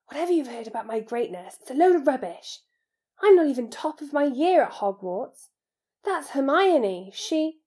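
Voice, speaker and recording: A woman's voice: high-pitched, sing-song, moderate pace, darkish tone, voice pushed up. A woman playing an earnest, anxious, lonely schoolboy with a hesitant manner in an audiobook. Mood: modest, uneasy.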